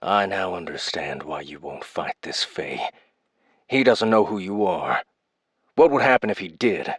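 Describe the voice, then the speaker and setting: A man playing a menacing lawman in a space fantasy audiobook, whispering probing words over a comm link in a husky voice. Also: expressive, a little slow, no room sound, clean studio sound, exaggerated voice. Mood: probing menace. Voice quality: very deep, husky.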